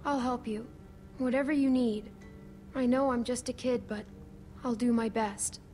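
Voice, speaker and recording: A woman playing a young girl in a survival game drama. The voice is fairly high, soft and earnest, brave and sensible, with music behind it. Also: steady tone, a little slow, close to an everyday voice. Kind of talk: dramatic video game acting.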